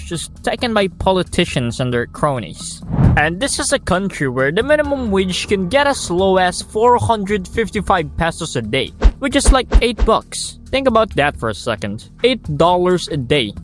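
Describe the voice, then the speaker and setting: A man with a Filipino accent, delivering a scripted commentary in a wry, blunt and sarcastic way. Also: high-pitched. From Philippines. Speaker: YouTuber. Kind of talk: scripted video-essay commentary.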